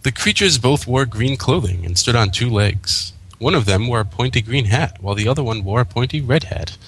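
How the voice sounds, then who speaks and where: A man's voice: mid-range voice, expressive, fairly quick, fairly bright tone, slightly rough. A man reading scripted story narration as a fan fiction reader.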